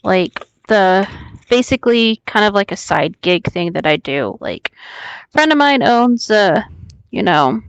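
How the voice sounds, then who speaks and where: A woman's voice: mid-range voice, very animated, measured pace, clean tone, dry recording, muffled recording. A woman co-hosting a casual group chat, speaking bluntly with some swearing. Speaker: co-host.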